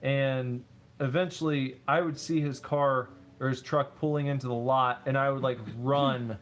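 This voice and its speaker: A man's voice: medium pitch, fairly even intonation, measured pace. Laid-back man chatting on stream. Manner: laid-back, storytelling, reads chat aloud. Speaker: streamer host. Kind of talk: casual chat during a building stream.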